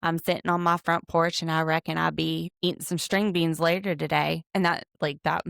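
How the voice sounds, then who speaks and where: A Southern woman with a low, gravelly voice talks through a reality show recap. She is chatty and playful, full of asides, and the sound is dry and close. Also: level tone, moderate pace, a bit bright, no echo. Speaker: podcast co-host. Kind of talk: casual recap chat.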